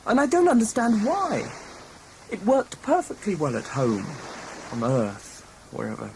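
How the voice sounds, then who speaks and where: A woman's voice: mid-range voice, very animated, measured pace. A woman playing a frustrated, argumentative traveller from Earth, speaking informally in a sci-fi audio drama. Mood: frustrated, impatient. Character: frustrated, impatient person from Earth with dormant powers. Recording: clear background noise.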